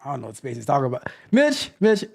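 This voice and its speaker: A man in his thirties hosting a casual livestream from a home studio on a close boom mic, rambling, repeating himself and joking. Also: huge pitch swings, fast talker, creaky. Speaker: host, tech coach. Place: home studio, seated in gaming chair. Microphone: boom mic close to mouth.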